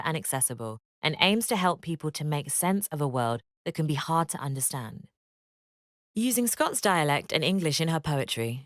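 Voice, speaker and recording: A woman giving scripted educational narration in a measured, expressive read-aloud manner. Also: sits low, dry recording, studio quality.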